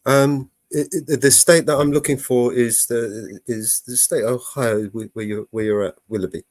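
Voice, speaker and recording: A man's voice: mid-range voice, fairly even intonation, moderate pace, no room sound. A man with a British accent, hosting a live stream and calling a police department, hesitant and full of fillers as he explains. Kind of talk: live-streamed phone call.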